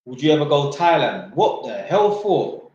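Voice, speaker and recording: A man's voice: a little high, very animated, normal speed, a little mellow, dull audio. A man reads out viewer questions and answers them bluntly in casual, slangy chat, swearing freely and joking. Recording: very echoey room.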